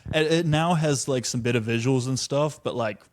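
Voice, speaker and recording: A man's voice: medium pitch, brisk. A man in his twenties from New Zealand, a podcast guest who chats casually and laughs often. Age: 20s. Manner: relaxed, laughs often, says "like" a lot.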